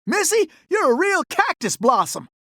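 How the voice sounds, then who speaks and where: Casting: young gunslinger outlaw for a video game. Very high, animated voice, Old West Southern drawl, boastful and gallant. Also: male voice, moderate pace, dry recording, clean studio sound, full character voice. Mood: admiring, excited. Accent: American Old West Southern drawl. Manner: folksy, exclamatory, boastful cowboy bravado.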